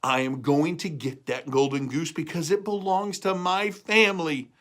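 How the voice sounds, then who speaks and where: A man's voice: on the high side, steady tone, moderate pace, fairly bright tone, lightly acted. A man voicing an earnest young boy hero. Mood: determined. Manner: earnest, insistent.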